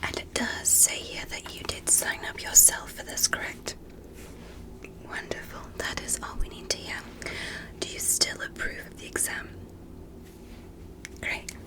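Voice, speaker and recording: A gentle alien medical examiner, acted by a woman in an ASMR sci-fi roleplay. The voice stays in a breathy whisper, with slow, deliberate phrasing. The manner is polite and reassuring, made to soothe. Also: natural voice, low-quality recording. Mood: soothing, attentive.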